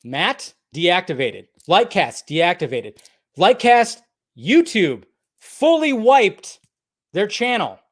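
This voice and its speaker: High-voiced man ranting, blunt and exasperated. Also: sing-song, takes it slowly. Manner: exasperated, blunt, heavy swearing; emphatic repetition of phrases. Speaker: host of a live fan commentary show. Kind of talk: unscripted ranting commentary.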